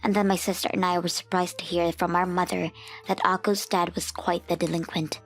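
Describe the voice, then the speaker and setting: Voice actress doing woman telling her family story, reflective, bit low and raspy, soft music behind. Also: flat delivery, fairly quick, lightly acted. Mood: sober, reflective. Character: grown woman soberly recounting a childhood family memory. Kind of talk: scripted first-person story narration. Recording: music under the voice.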